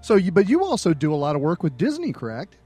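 A man's voice: high-pitched, wildly swooping pitch, fast talker, dark tone, husky. A man in his forties hosting a podcast, friendly and enthusiastic in casual chat. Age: 40s. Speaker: podcast host.

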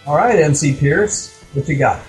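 A man's voice: fairly high pitch, expressive, moderate pace, soft background music. A man co-hosting a podcast, telling casual comic anecdotes in a wry, exasperated, mildly profane way. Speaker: podcast co-host.

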